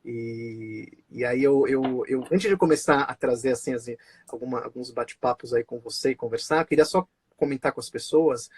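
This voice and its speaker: A man's voice: medium pitch, normal speed, husky, dry recording. A man born in Israel and raised in Brazil, hosting a casual live-stream conversation over a video call, chatty and full of fillers and restarts. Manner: chatty, many fillers and restarts, laughs often.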